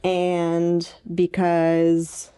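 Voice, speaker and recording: A young woman talks as a podcast co-host in a slightly lower-pitched voice. Her delivery is informal and jokey, peppered with slang, like a relaxed book chat. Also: extremely slow, clean tone. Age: young adult.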